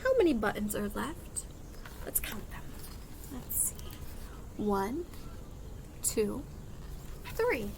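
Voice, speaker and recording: A woman reading a picture book aloud to young children as a teacher, expressive and sing-song, pausing to explain in simple words. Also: a little high, slight background noise. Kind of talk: animated children's read-aloud with singing and counting.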